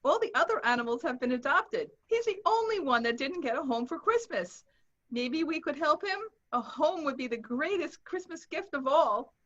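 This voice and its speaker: Actress as frail kindhearted little boy, high-pitched, hopeful, muffled call audio, holiday drama. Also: animated, moderate pace, a bit bright. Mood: hopeful, gentle. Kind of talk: dramatic table read.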